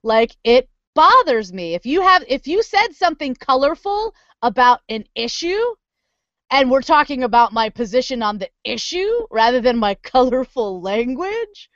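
Lively, high-voiced woman podcast co-host. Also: normal speed, no room sound, muffled recording. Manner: blunt, animated, swears casually. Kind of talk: casual chat.

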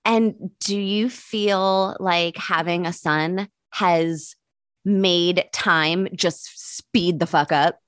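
An American woman of thirty-nine hosting a comedy podcast in casual chat, candid and casually profane, quick to laugh. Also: lowish voice, steady tone, fairly bright tone, smooth, no echo, clean studio sound. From Pittsburgh, United States. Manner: laughs readily.

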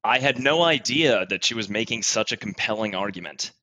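A man with a fairly low but bright, forward voice plays the expert guest on a comedy podcast. He gives feedback in a measured, approving way, keeping a straight, mock-serious face. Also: expressive. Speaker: guest playing an expert educator giving critique.

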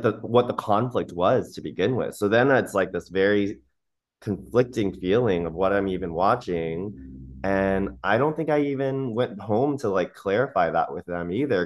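Male host, low pitch, easygoing interviewer. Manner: conversational, relating to the guest. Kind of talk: casual interview chat.

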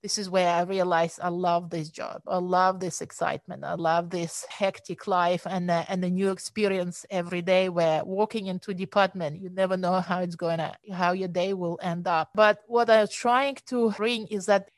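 A woman's voice: on the low side, steady tone, normal speed, hardly any pauses, dry recording. Ukrainian-accented woman telling her story. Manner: earnest, reflective, non-native phrasing. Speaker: emergency physician. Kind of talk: personal story on a podcast.

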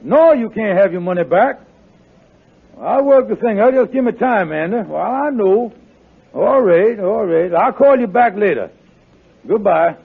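An actor playing a scheming small-time hustler in an old-time radio comedy, raspy and folksy, hemming and stalling. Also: male voice, high voice, animated, a little slow, exaggerated voice. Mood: placating. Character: scheming, fast-excuse-making male small-time hustler. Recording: tinny vintage radio broadcast.

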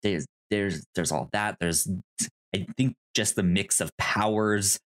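A man co-hosting a podcast in casual film discussion, halting and repeating words as he thinks aloud. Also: fairly low pitch, fairly bright tone. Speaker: podcast co-host.

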